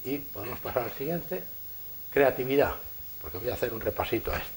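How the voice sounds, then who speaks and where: A man with a Spanish accent from Spain giving a conference lecture, telling lively anecdotes and chuckling at his own stories. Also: mid-range voice, fairly quick, a little hiss or hum. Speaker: engineer giving a conference talk. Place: lecture hall.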